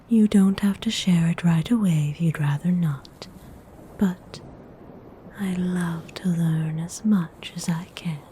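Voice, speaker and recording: A woman playing a tender, possessive vampire noble in a whispered ASMR roleplay, speaking in a breathy, soothing, intimate way. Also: fairly low pitch, warm tone, slight background noise. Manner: soothing, slow, intimate coaxing.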